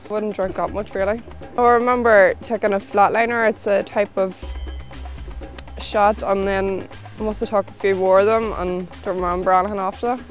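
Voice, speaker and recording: A young woman, speaking offhandedly and with hesitation in a documentary interview. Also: mid-range voice. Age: young adult.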